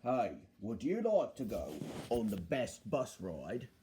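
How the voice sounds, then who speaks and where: Man putting on a low, grumpy, boastful bus. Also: big pitch swings, slow, warm tone, slight background noise. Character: grumpy, boastful double-decker bus who hates railways.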